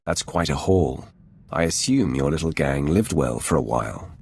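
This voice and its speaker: Very deep, gravelly dark warrior lord. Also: male voice, expressive, heavily acted. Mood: measured. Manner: measured, formal, authoritative. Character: commanding, composed male dark-side warrior lord. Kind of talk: video game dialogue acting.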